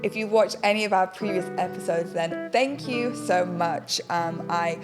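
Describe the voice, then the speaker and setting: Chatty slightly husky young woman. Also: on the low side, normal speed, over music. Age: young adult. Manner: chatty, rambling, self-deprecating. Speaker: podcast host. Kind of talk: casual get-ready-with-me chat. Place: bright home studio, indoors. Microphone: desk podcast mic on an arm.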